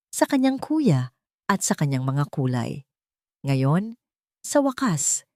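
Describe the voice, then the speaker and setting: A woman's voice: lowish voice, a little mellow, no echo, studio quality. A woman reading a scripted romance story in a dramatic storytelling style.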